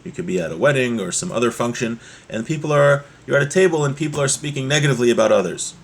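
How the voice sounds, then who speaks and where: A man giving a religious lesson as a teacher, explaining a text point by point and repeating key ideas, in a husky voice. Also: mid-range voice, animated, quick pace. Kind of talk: Torah lesson, reading Hebrew text and explaining it.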